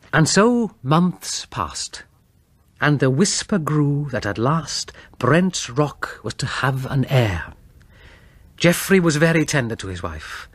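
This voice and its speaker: A man narrating a Gothic tale as an audiobook, theatrical and deliberate in his phrasing. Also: on the high side, no echo.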